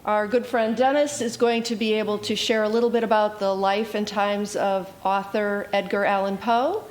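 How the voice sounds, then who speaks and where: A woman's voice: mid-range voice, slight background noise. A woman hosting a community library program, speaking in a friendly presenting and interviewing style. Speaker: host, library program coordinator.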